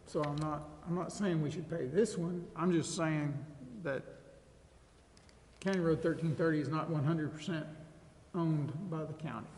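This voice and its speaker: A man's voice: fairly high pitch, fairly even intonation, moderate pace, darkish tone. An American man explaining a point as a council member at a public meeting. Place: large indoor council chamber. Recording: echoey hall, background noise.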